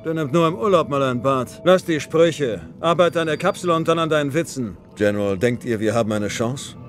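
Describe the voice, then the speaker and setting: A man's voice: a little high, fairly quick, faint music behind, natural voice. Blunt clone soldier acted by a man. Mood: terse, grim. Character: blunt military clone soldier, dry and pragmatic.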